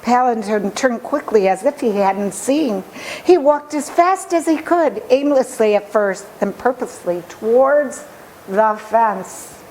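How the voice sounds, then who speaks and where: Female storyteller for children's book: very unhurried, dramatic phrasing, slightly high voice, faint noise. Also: animated, darkish tone, poor audio. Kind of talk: expressive children's story reading.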